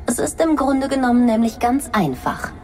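A woman's voice: fairly high pitch, animated, normal speed, a little mellow, big-room sound, natural voice. An actress playing a mocking blonde woman who claims to be the original, speaking in a taunting, condescending way. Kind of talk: audio drama acting.

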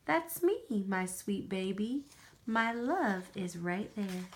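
A woman with an American accent reading a picture book aloud to a young child, with unhurried, expressive phrasing. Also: medium pitch, a little hiss or hum.

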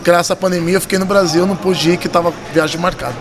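High-voiced São Paulo man telling stories. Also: steady tone, fast talker. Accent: São Paulo Brazilian. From São Paulo, Brazil. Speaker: podcast guest, poker dealer and tournament director. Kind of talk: casual storytelling in a podcast interview. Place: poker club room, indoors. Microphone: vintage-style desk microphone. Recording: busy room crowd murmur behind.

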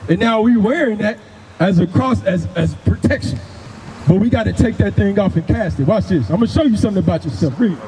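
Male street preacher, very high pitch, insistent and repetitive delivery, noisy open-air recording. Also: lively intonation, brisk, dark tone, big-room sound. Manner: emphatic, repeats phrases, commands a reader. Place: outdoors on a grassy housing-project lawn. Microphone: handheld microphone through a portable speaker.

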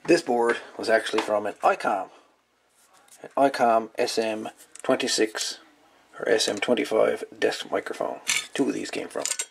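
A man with a fairly low, creaky voice talks casually through a hands-on hobby build. He is folksy and musing, pausing to think as he goes. The recording carries light background noise from the workbench. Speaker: hobbyist radio video maker. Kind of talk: casual hands-on workshop demonstration. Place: indoors at a workbench. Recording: light background noise, clicks of handled parts.

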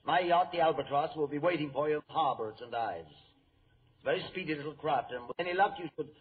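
An actor playing an earnest, anxious, formal shipping gentleman in an old-time radio drama. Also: a little high, fast talker, narrow, tinny audio, a little hiss or hum, natural voice. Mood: earnest, businesslike. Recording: thin old radio recording.

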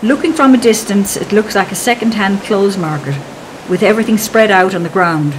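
A woman giving calm, measured, scripted narration for a travel documentary as a voice-over. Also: mid-range voice, slight background noise, poor audio.

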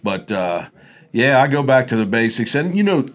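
A man's voice: medium pitch, sing-song, moderate pace. A man in his fifties hosting a fishing show, chatting casually in a folksy, plain-spoken way. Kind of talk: casual interview chat. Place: indoor office-like room. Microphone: clip-on wireless mic.

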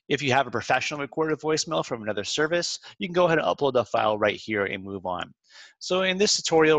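Male tutorial presenter, medium pitch, informal instructional delivery, semi-scripted, dry voice-over sound. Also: fairly bright tone, no room sound. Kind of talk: walkthrough narration of a screen demo, semi-scripted. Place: close dry voice-over for a screen recording.

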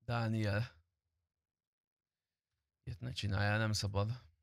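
A man's voice: fairly low pitch, level tone, vocal fry, no room sound, studio quality. A man chatting casually on a game stream, informal and teasing, with some swearing. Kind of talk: casual live-stream chat during gameplay.

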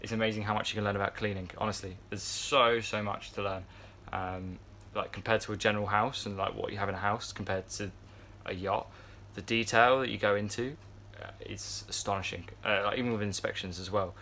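A man's voice: fairly low pitch, fairly even intonation, brisk, hardly any pauses, pushed and bright, a little hiss or hum. Man rambling casually to his phone. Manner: rambling, many fillers and restarts. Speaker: yacht crew vlogger. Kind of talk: casual vlog chat to camera. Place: yacht crew area, indoors, fan nearby. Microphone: phone camera at arm's length.

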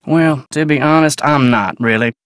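A man's voice: on the high side, moderate pace, fairly bright tone, no echo, clean studio sound, close to an everyday voice. An actor playing a guarded, polite American ranch foreman in a video game, folksy, clipped and matter-of-fact. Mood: plain, reserved. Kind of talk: naturalistic video game character dialogue.

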